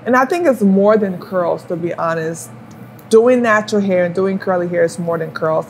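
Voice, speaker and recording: A woman's voice: fairly low pitch, normal speed, slight background noise, low-quality recording. A woman from Liberia, a hairstylist, talking casually about her work while styling hair, with many "you know"s. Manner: warm storytelling with fillers and rhetorical "you know".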